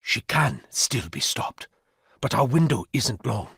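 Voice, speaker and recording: Very raspy, embittered avenger. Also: male voice, medium pitch, big pitch swings, measured pace, a bit bright, no room sound, clean studio sound, heavily acted. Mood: grave urgency. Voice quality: raspy, gravelly. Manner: dramatic, scornful, intense. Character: embittered, grave man bent on stopping a foe. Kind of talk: video game dramatic dialogue.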